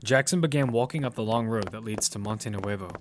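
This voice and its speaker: A man's voice: lowish voice, fairly quick, background noise. A man giving scripted narration for a piece of audio fiction. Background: music.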